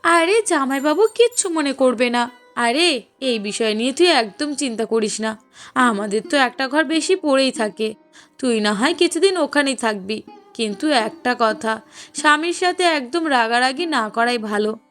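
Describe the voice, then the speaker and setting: A woman voices a caring young wife in a cartoon family drama. Her voice is high and sweet, with a coaxing, emotive delivery, over a music bed. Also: lively intonation, moderate pace, fairly bright tone. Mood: kindly advising. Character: loving young wife, expectant mother, caring and anxious. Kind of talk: cartoon dialogue acting.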